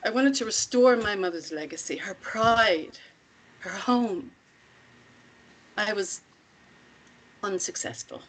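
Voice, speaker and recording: A woman playing an older, self-assured commune matriarch in a script table read, speaking in a measured, deliberate way with pointed rhetorical questions. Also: medium pitch, expressive, a bit bright, poor audio. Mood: grave, reflective.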